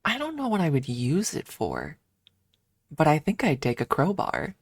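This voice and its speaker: A woman's voice: low-pitched, huge pitch swings, normal speed, dry recording. A woman streamer chatting casually and informally in an ASMR livestream, thinking aloud close to the microphone. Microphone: close microphone adjusted for ASMR.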